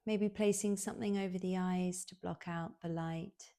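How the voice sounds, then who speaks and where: A woman guiding a relaxation meditation in a soothing, unhurried way, leaving long pauses, with soft, breathy phrase endings. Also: fairly low pitch, steady tone. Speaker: yoga nidra guide.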